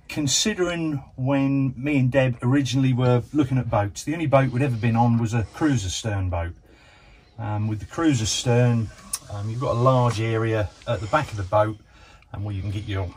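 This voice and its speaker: A man's voice: medium pitch, a little hiss or hum. A man in his fifties giving a rambling, casual tour of his narrowboat with plenty of ums, filmed on a handheld action camera in a small cabin. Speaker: vlogger.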